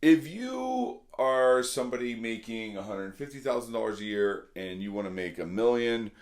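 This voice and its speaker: Slightly hoarse man giving a casual pep talk. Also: mid-range voice, big pitch swings, moderate pace. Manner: earnest, conversational, repeats points for emphasis. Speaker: vlog host. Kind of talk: casual motivational talk to camera.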